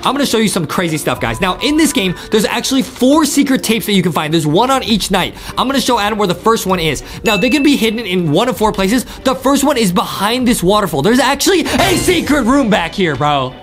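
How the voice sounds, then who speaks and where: A young man hosting a gaming video for kids, talking in excitable, emphatic commentary straight to viewers. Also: sits high, a little fast, hardly any pauses, soft background music. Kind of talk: hyped gameplay commentary for children.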